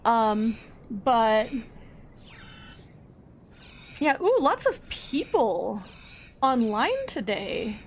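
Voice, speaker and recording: High-voiced rambling woman streamer. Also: wildly swooping pitch, very slow, a little hiss or hum. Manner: rambling, filler-heavy, self-correcting. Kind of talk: casual livestream chat.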